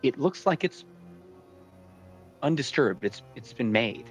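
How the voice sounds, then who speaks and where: A man running a tabletop role-playing game as dungeon master, describing scenes deliberately with pauses between phrases. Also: mid-range voice, lively intonation, soft background music.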